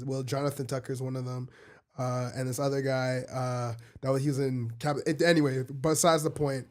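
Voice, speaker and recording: A man with a medium-pitched voice chats as a podcast panelist. He is inquisitive and conversational, feeling his way through his points in a halting, roundabout manner. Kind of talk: casual chat.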